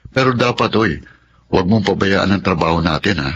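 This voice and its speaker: A caring, practical young Filipino man in a radio drama. His voice is low and conversational. The sound is dull, with noise behind it. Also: animated, fairly quick, fairly bright tone. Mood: exasperated, concerned. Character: practical, caring young male events host, business partner. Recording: dull, noisy recording.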